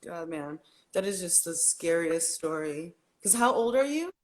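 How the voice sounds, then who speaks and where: A woman's voice: fairly low pitch, expressive, a little slow. A woman guest on a casual livestream chat, talking emphatically and bluntly, with some swearing.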